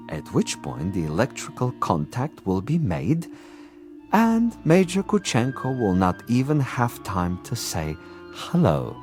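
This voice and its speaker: An actor playing a smug, cunning commissar and assassin in a vintage television drama, with a pompous, savoring delivery. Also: male voice, on the low side, a little mellow. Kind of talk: 1960s television drama acting.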